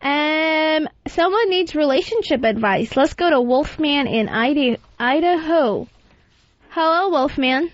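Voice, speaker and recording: A woman's voice: high voice, lively intonation, unhurried, dry recording, dull audio. A woman hosting a radio call-in show with casual, profane, brash and blunt ranting talk.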